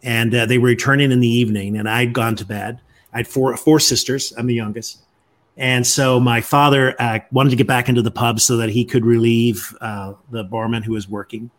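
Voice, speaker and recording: A man's voice: mid-range voice, fairly even intonation. Man in his fifties. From Northern Ireland. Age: 50s. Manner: measured, frequent "uh" pauses. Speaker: podcast guest. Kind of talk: storytelling interview.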